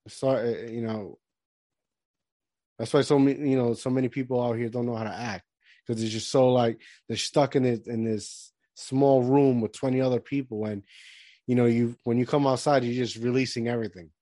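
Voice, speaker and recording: A man in his thirties who grew up in Puerto Rico talks in a loose, rambling way, stopping and restarting his sentences with lots of fillers. His voice sits at an ordinary pitch. It is recorded close and dry, with no room sound. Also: steady tone, moderate pace, warm tone, dry recording. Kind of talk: casual chat.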